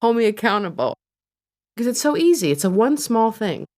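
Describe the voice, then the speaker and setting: A woman's voice: fairly high pitch, very animated, moderate pace, a little mellow. A woman co-hosting a podcast, chatting candidly and with a self-deprecating edge. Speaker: podcast co-host. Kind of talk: casual chat.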